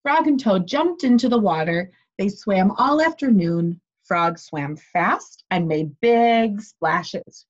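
A young woman, a teacher reading a children's story aloud in an animated way and asking her listeners questions. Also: mid-range voice, slow pace, few pauses. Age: young adult. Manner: animated, expressive. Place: small bedroom, indoors. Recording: slightly dull webcam audio.

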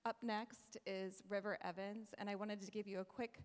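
Female host, mid-pitched, casual halting intro, big reverberant hall. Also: level tone, smooth. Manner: casual, slightly halting with fillers. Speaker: show host. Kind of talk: stage introduction. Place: church auditorium stage. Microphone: handheld mic.

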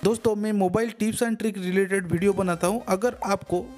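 A man's voice: high voice, steady tone, unhurried, a little mellow. A man giving a step-by-step tech tutorial voice-over, repeating himself and addressing listeners as friends. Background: background music.

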